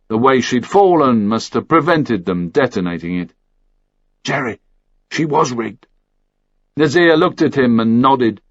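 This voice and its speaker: A man's voice: mid-range voice, big pitch swings, moderate pace, few pauses, no room sound, lo-fi sound. A man reading a first-person action thriller as scripted audiobook narration.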